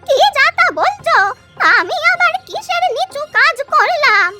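A woman's voice: extremely high pitch, sing-song, measured pace, over loud music, full character voice. A woman voicing an indignant older village auntie in a fairy tale cartoon, scolding and emphatic.

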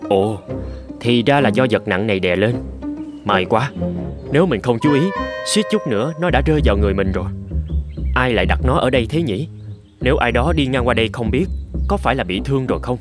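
A man voicing a kind, careful young eldest son in an animated folktale, courteous and earnest. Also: mid-range voice, fairly quick, over music, lightly acted. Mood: puzzled.

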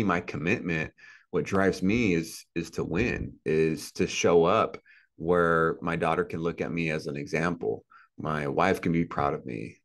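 A man in his thirties, a podcast guest on a video call, talking earnestly and motivationally with lots of repetition. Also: low-pitched. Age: 30s. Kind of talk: casual interview talk. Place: indoor room with a plant and a couch.

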